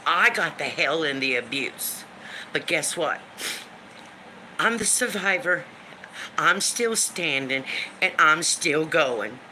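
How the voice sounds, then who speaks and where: A woman in her fifties with a deep smoker's voice, venting on a live stream in a blunt, emphatic way, swearing freely and repeating lines for emphasis. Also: expressive, slow, long pauses, sharp tone, a little hiss or hum, low-quality recording. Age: 50s. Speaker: livestream host. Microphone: phone or webcam for a live stream.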